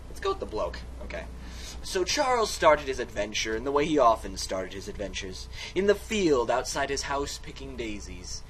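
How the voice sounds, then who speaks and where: A man's voice: medium pitch, huge pitch swings, normal speed, fairly bright tone. Male amateur narrator, mock-dramatic storyteller who keeps cutting in on himself, noisy home recording. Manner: theatrical, comically self-interrupting. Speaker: reader and player of a text game. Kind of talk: mock-dramatic storybook reading with casual asides.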